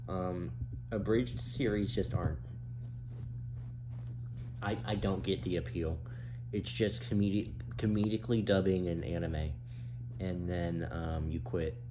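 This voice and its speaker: A young man in his twenties with a low voice rambles through casual commentary, stammering and restarting often. The recording is slightly dull, with a clear hum behind him. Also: measured pace, long pauses. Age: 20s. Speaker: YouTuber giving opinions. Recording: muffled mic with clear background hum.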